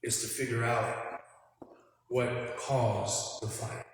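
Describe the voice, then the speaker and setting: A man moderating a panel, giving a formal public address in a solemn, measured, preacher-like way. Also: medium pitch, little pitch movement. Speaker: moderator opening a panel discussion. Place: large indoor hall with long reverb. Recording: very echoey hall with faint noise.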